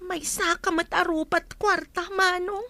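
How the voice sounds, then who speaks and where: Young captive heroine for radio drama, played by a woman: very high, bright voice, earnest and polite, dry recording. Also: fairly even intonation, a little slow, dry recording, full character voice. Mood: pleading, defiant. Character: young captive heiress Eva, pleading, hopeful, dutiful niece. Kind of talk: Ilocano audio drama acting.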